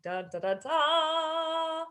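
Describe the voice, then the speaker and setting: A woman's voice: high-pitched, expressive, very slow, fairly bright tone, soft background music. A woman co-hosting a podcast in casual banter, playful and self-deprecating, with dramatic emphasis. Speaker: podcast co-host.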